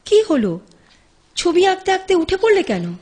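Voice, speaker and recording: An actress playing a caring, firm and coaxing wife in an audio drama, earnest and emphatic, dropping in English phrases. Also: female voice, very high-pitched, big pitch swings, normal speed, darkish tone. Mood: firm, insistent. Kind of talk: melodramatic audio drama acting.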